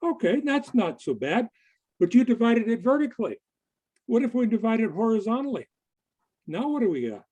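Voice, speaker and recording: A man giving a conversational presentation to a group in a halting, deliberate manner. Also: very high-pitched, big pitch swings, darkish tone, breathy edge, low-quality recording. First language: English. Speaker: conference presenter. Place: online video meeting.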